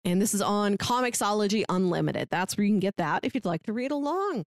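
A woman's voice: mid-range voice, lively intonation, fairly quick, dry recording, studio quality. A woman hosting a podcast in a casual, chatty style. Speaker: podcast host.

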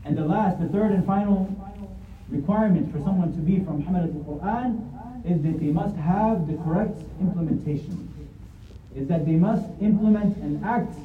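A man's voice: sits high, moderate pace. A man giving an earnest religious lesson, repeating his points for emphasis and reciting verses in Arabic. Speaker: Islamic lecturer. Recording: tinny, echoey, distant phone-like sound.

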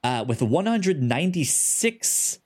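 A man's voice: fairly high pitch, sing-song, slow pace, darkish tone, breathy edge. Stuttering man hosting a podcast. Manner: stutters, repeating first sounds; thinks aloud, many fillers and restarts. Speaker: podcast host. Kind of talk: casual chat.

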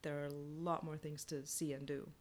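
A woman's voice: low voice, little pitch movement, moderate pace, darkish tone. A woman chatting casually as a playwright guest on a panel, explaining things informally in short phrases.

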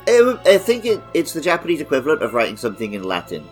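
A man's voice: fairly high pitch, huge pitch swings, racing, soft background music. A man co-hosting a podcast, explaining things in a casual, conversational way. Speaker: podcast host.

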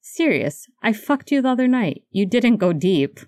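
A woman's voice: medium pitch, sing-song, normal speed, dark tone, no room sound, studio quality, pitched above the performer's own voice, natural voice. A woman voicing an anxious, tender, apologetic man in love, speaking in an earnest, emphatic way for a fan fiction audiobook. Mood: tender, teasing.

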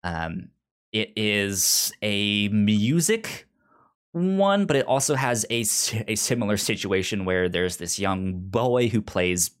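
Low-voiced man with a strong stutter. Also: lively intonation, takes it slowly, clear, resonant tone. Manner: heavy stutter with repeated first sounds. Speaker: podcast co-host. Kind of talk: casual podcast chat.

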